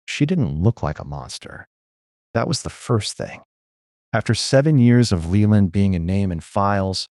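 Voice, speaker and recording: Guy narrating a noir audio story in first person, deep-ish voice, very dry recording. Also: dry recording.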